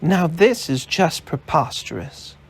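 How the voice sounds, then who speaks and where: Brief: indignant lady reporter, high and husky, as a male narrator puts her on. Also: very animated, slow pace, exaggerated voice. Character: feisty woman journalist, quick to scoff.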